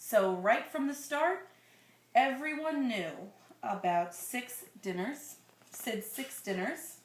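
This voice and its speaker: Echoey, unhurried woman reading a picture book. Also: fairly high pitch, a little hiss or hum. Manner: expressive, drawn-out reading with conversational asides. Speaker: reader of a children's picture book to a listener. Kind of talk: read-aloud storytelling with questions to the listener. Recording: roomy, echoing sound.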